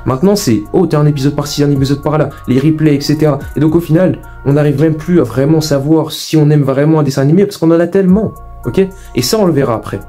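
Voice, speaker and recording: A man's voice: a little high, fast, mellow, faint music behind. A young man hosting a video essay, giving informal, opinionated commentary in an emphatic, repetitive, colloquial way.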